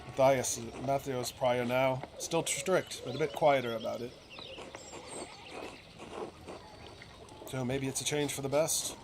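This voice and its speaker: Village gossip for a game, read by a man: earnest, talkative, folksy, emphatic, medium pitch, over noticeable animal ambience. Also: fairly even intonation, moderate pace, noisy background, lightly acted. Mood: chatty, gossipy. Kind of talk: game dialogue read aloud in character.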